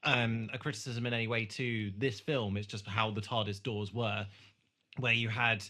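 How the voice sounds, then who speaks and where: A man's voice: on the low side, fairly even intonation, normal speed, bright, forceful tone. A man hosting a casual podcast discussion, talking in a loose, hesitant way with frequent asides. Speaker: podcast host.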